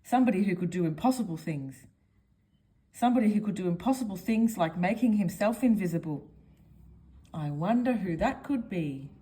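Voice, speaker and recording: Female storyteller for a children's picture book, expressive, highly varied intonation, speaks straight to young listeners. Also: moderate pace.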